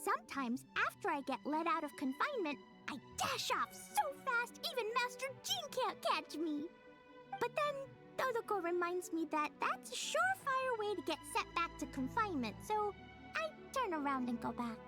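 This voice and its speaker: A woman voicing a bouncy little girl who loves explosions in a game, talking in an eager, lively way over background music. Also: extremely high pitch, normal speed. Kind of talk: game voice acting.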